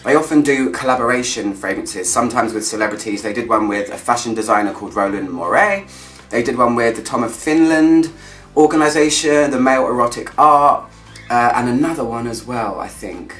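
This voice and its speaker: A man's voice: mid-range voice, fairly bright tone. A man giving a casual vlog-style review, chatty and descriptive, thinking aloud as he goes. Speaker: fragrance reviewer. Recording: echoey room, distant mic, faint music.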